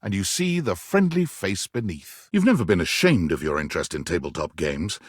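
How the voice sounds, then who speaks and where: A man's voice: low-pitched, expressive, moderate pace, husky, no room sound, studio quality. A man playing a dramatic storyteller, narrating a video game in a theatrical, scripted second-person style. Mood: dramatic, storytelling.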